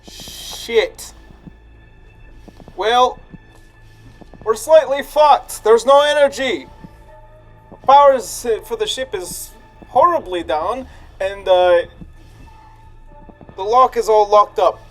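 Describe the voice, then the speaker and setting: Casual tabletop game player, unhurried delivery, middling pitch, soft music underneath. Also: rough recording.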